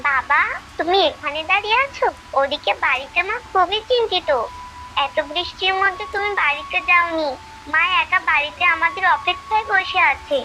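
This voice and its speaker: A woman's voice: very high voice, normal speed, bright, forceful tone, slight background noise, poor audio. A woman voicing an earnest young village girl, a devoted and pleading daughter in a cartoon. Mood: urging. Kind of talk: Bengali cartoon voice acting.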